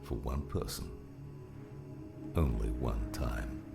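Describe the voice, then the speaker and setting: An actor playing a gruff, fatherly old mentor, weary and wise, in a slow audiobook drama performance with a gravelly voice. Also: very deep voice, exaggerated voice. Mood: solemn. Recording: music behind the voice.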